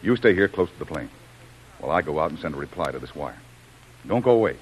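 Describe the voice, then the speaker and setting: An actor playing a resolute, commanding adventurer in a vintage radio serial, with firm, declamatory delivery. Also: male voice, rumbling low, lively intonation, quick pace, poor audio. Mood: cautious, commanding. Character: Jim, resolute heroic adventurer, commanding and brave. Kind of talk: 1930s-40s radio adventure acting. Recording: hissy old radio recording.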